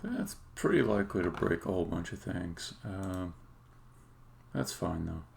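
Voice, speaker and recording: A man talking casually and haltingly as he thinks aloud on a live coding stream, with some words stressed emphatically. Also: low-pitched, lively intonation, gravelly, a little mellow. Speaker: game developer livestreaming.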